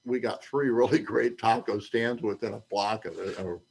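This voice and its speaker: A man in his eighties, host of a newsletter, chatting casually in a rambling way with restarts and emphatic outbursts, getting over an illness and clearing his throat. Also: fairly low pitch, normal speed. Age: 80s. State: recovering from covid, clears throat. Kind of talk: casual chat. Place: Mexico's Baja coast.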